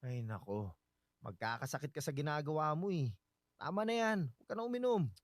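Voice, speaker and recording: A man's voice: on the high side, animated, brisk, dry recording, clean studio sound, lightly acted. An actor playing a caring, insistent young man secretly in love in a Filipino radio drama, speaking in a concerned, coaxing way. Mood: worried, scolding.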